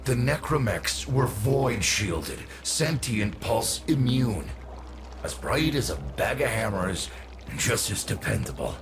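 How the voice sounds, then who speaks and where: An actor playing a gruff, weary old warrior father in a video game, gravelly, wry and wounded, with a halting delivery. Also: mid-range voice, animated, over music. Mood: wary, dismissive.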